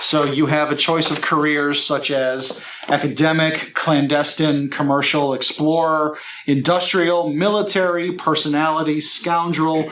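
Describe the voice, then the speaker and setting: A man's voice: on the high side, level tone, unhurried, fairly bright tone, slight background noise. A man acting as game master, explaining tabletop game rules to a group around a table. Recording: roomy echo.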